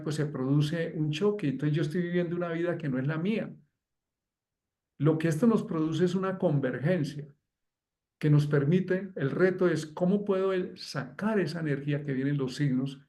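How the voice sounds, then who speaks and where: A man with a fairly high voice gives a long explanation as a guest. He talks fluently and runs examples together in one flowing line of thought. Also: warm tone. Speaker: guest astrologer. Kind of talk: conversational explanation.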